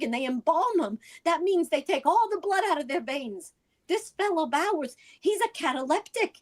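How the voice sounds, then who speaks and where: High-voiced, anxious, pleading wife played by a woman. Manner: urgent, breathless pleading. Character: anxious, pleading, insistent wife hunting for answers. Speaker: actor in radio drama. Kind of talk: vintage radio drama acting.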